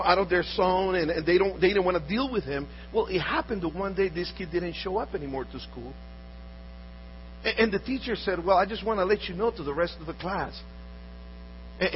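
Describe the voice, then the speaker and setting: Earnest man from Honduras telling stories. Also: on the high side. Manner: earnest, repeats words and restarts often. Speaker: speaker telling personal stories. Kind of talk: storytelling talk. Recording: dull audio with faint mains hum.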